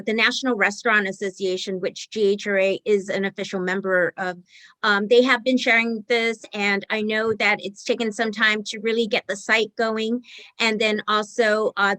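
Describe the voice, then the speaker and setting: A woman's voice: mid-range voice, bright, forceful tone, no room sound, rough recording. A woman who is an association president, answering in an upbeat, fluent and informative way. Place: video call.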